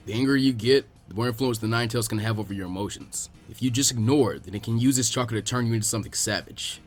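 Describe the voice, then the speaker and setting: Guy doing a serious old ninja teacher in a story, measured and frank, faint music. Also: mid-range voice, natural voice. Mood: serious, cautioning. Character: frank older male ninja master, grave mentor. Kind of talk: acted storybook dialogue.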